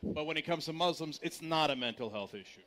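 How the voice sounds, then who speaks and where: A man's voice: a little high, expressive, brisk, a bit bright. A man moderating a live panel discussion at a conference, speaking in an emphatic, argumentative way. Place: conference stage.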